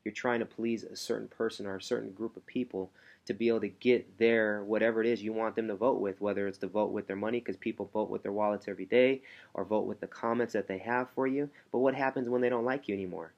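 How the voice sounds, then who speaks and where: Softly spoken motivational coach, low voice. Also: male voice, steady tone, fast talker, vocal fry, lo-fi sound. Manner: soft, hushed delivery to keep a sleeping baby quiet, rambling with restarts. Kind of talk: casual motivational talk to camera. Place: at home.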